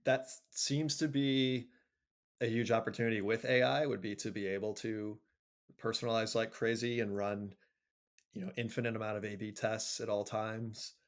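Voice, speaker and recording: A man answering interview questions conversationally, thinking aloud in a measured way with frequent pauses. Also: fairly low pitch, little pitch movement. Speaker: interview guest, marketing agency executive.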